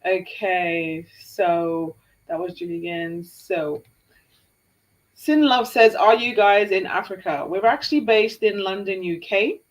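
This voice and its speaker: Upbeat woman from Jamaica hosting a live Q&A, mid-pitched, reading viewer questions briskly. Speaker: co-host.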